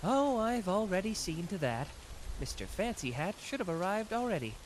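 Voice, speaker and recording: A man playing a confident, smug young male detective genius in a scripted anime audio roleplay, teasing and self-assured with dramatic pauses. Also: high voice, big pitch swings, slight background noise, poor audio.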